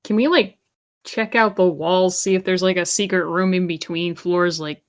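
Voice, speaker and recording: A woman's voice: on the low side, fairly even intonation, moderate pace. A woman playing a tabletop role-playing game, speaking in casual, conversational chat.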